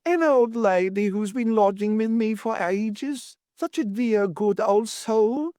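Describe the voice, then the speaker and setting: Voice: slow, dark tone, no room sound, clean studio sound, close to an everyday voice. A narrator voicing a fretful, sentimental landlady in an audiobook, plaintive and emphatic. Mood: shaken, mournful. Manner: plaintive, emphatic, high-strung. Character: fretful, sentimental, agitated middle-class landlady.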